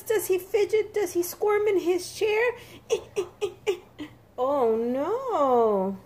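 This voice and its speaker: High, very animated woman reading a picture book. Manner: animated, emphatic, sing-song with repeated words for small children. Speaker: read-aloud storyteller for young children. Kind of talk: expressive picture-book read-aloud.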